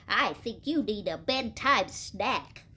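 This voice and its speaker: Woman as a bossy, lively, helpful lady pig. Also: medium pitch, slow, husky, full character voice. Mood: bossy, eager. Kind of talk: children's storybook read-aloud.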